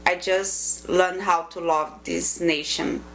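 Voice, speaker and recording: A middle-aged woman from Brazil with a Brazilian Portuguese accent, Portuguese being her first language, giving reflective, earnest interview answers and pausing to search for words. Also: lowish voice, fairly even intonation, gravelly, fairly bright tone, a little hiss or hum, low-quality recording.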